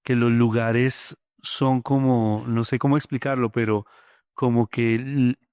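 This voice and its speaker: A middle-aged poet from Colombia with a Bogotá accent, speaking thoughtfully in an interview, often pausing with fillers. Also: male voice, mid-range voice, flat delivery, a little mellow, smooth, no echo, studio quality. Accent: Bogotá Colombian Spanish. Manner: thoughtful, many hesitant fillers. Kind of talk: reflective interview talk.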